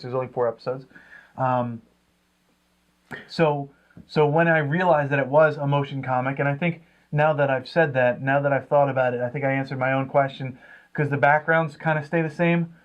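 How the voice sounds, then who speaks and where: A man co-hosting a casual review, speaking haltingly and repeating words as he reasons. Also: medium pitch, steady tone. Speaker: co-host of a comics review show. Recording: dull, lo-fi sound.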